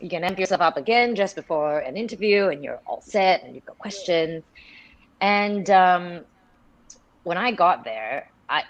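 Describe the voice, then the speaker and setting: Singapore lady, deep-ish voice, chatty radio presenter on a video call, lots of laughs. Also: lively intonation, measured pace, poor audio. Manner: chatty storyteller, restarts sentences, laughs often. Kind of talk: casual chat on a live video call.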